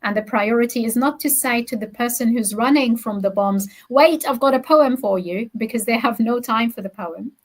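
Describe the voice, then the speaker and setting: Earnest poet woman, a bit high-pitched. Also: poor audio. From Gaza, Palestine. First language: Arabic. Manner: measured, earnest, emphatic. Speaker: poet, guest. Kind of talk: interview answer.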